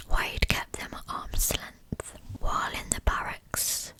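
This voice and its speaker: Slow whispering woman, ASMR narration. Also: rough recording. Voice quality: breathy unvoiced whisper. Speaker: ASMR host narrating true crime.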